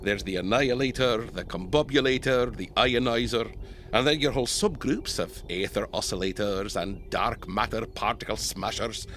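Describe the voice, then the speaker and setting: An actor playing a gruff, folksy zeppelin captain in an audio drama, matter-of-fact with old sailor phrasing. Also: male voice, on the low side, normal speed, a bit bright, a little hiss or hum, close to an everyday voice.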